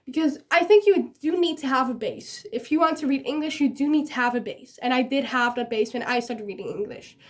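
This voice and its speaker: A young woman with a Dutch accent and a high voice talks casually as a book vlogger. Dutch is her first language. Her delivery is giggly and rambling, with a self-deprecating streak. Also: fairly quick, few pauses. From Netherlands. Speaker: book vlogger giving recommendations. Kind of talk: casual chatty vlog to camera.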